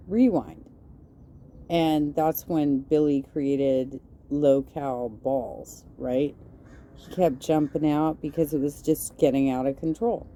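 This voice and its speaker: A woman's voice: sits low, warm tone, slight background noise. A woman giving reaction commentary over stream clips, blunt, sarcastic and profane.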